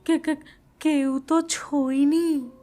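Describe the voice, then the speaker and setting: A woman playing a jittery, frightened companion in a ghost story, with an excitable, swooping delivery. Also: high voice, slow pace. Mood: startled.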